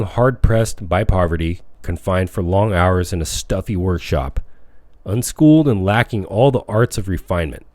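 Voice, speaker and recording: A man reading a self-help audiobook as scripted narration, with measured, declamatory phrasing. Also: sits low, a little mellow, no echo. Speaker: audiobook reader.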